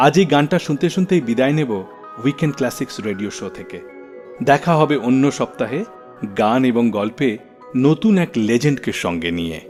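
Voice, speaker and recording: A man's voice: mid-range voice, expressive, a little fast, darkish tone. A man hosting a radio show, telling stories about music in scripted narration. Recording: long reverb, faint music behind. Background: faint music.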